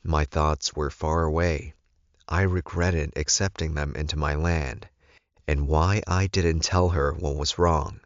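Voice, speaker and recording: A man's voice: very deep voice, steady tone, a little slow, no echo, muffled recording. A man reading first-person fiction aloud as a scripted audiobook narration.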